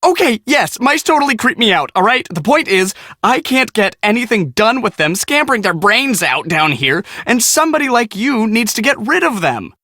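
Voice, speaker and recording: An actor playing a cocky, excitable young American storm-chasing photographer in a video game, slangy, boastful and dramatic. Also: male voice, high-pitched, normal speed, few pauses, dry recording, clean studio sound, full character voice. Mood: squeamish, flustered. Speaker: video game character, storm team media guy. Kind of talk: animated casual game dialogue.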